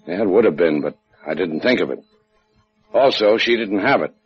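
A tough American skipper with a low voice and a laconic, sardonic delivery. It is hard-boiled 1950s radio drama on a dull old recording with music behind it. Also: male voice, animated, moderate pace, slightly rough. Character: tough, wry American adventurer and boat skipper.

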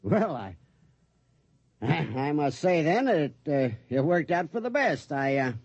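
A man's voice: a little high, sing-song, measured pace, darkish tone, slightly rough, no room sound, heavily acted. Flustered, stammering store man, muffled. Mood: flustered, uneasy. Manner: hesitant, stammering. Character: nervous, polite store employee, flustered and deferential. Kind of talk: 1950s radio drama acting. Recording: muffled old radio recording.

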